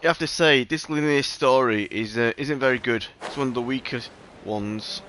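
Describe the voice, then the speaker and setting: A man streaming a game and chatting casually with viewers in a halting, offhand way. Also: medium pitch, fairly bright tone, a little hiss or hum. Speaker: live streamer. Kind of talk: casual chat with viewers while playing a game.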